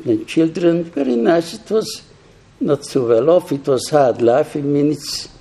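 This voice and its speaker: An elderly man recounts his memories slowly, in halting, reflective phrases with frequent restarts. His voice is very dark and mellow, with a husky grain. He is hard of hearing. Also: a little high, expressive. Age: older, 80s or 90s. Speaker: survivor giving testimony to young people. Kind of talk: personal testimony talk.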